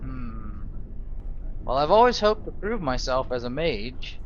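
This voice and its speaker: A man's voice: medium pitch, big pitch swings, a little slow, slight background noise. A man role-playing over a video game, giving tentative thoughts and reactions. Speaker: gamer, role-player. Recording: dull voice-over with game music. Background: faint game music.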